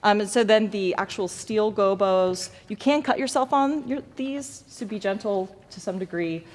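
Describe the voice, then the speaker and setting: A woman's voice: medium pitch, moderate pace, a little mellow. A woman teacher giving an informal hands-on demonstration to students, with self-deprecating, jokey asides. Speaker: lighting design teacher. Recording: echoey hall, noticeable background noise.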